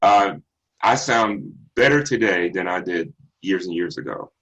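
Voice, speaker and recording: A middle-aged man from Texas with a bass baritone voice, a singer answering interview questions in an unhurried, reflective way with many ums and restarts. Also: animated, fairly bright tone, rough recording. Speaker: singer guest.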